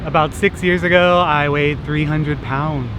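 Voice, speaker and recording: A man's voice: fairly high pitch, steady tone, a little slow. Earnest man in his thirties. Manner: earnest, reflective. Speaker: street interviewee. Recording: noisy street background.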